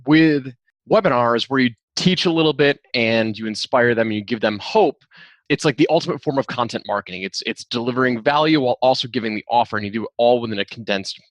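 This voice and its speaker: A man's voice: medium pitch, fast talker, dry recording. A man hosting a podcast interview, asking questions and adding his own views in an earnest, agreeable way. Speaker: podcast host.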